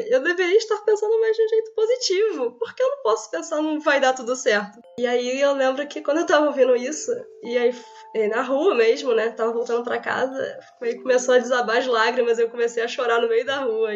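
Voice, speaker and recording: Excitable young Carioca woman, lo-fi recording. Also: high-pitched. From Brazil. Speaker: listener and language student. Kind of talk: recorded testimonial. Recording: dull lo-fi audio.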